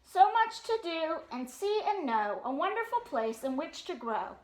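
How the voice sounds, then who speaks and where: Very high-pitched woman reading to children. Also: roomy sound, heavy background noise. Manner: sing-song, expressive, pauses to question young listeners. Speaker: storytime reader. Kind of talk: animated read-aloud of a children's picture book with chatty asides.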